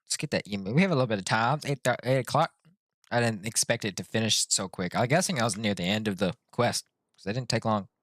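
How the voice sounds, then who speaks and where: A man's voice: fairly low pitch, fast, fairly bright tone, no room sound. A man giving casual, playful live stream commentary while he plays a video game, offhand and reacting aloud.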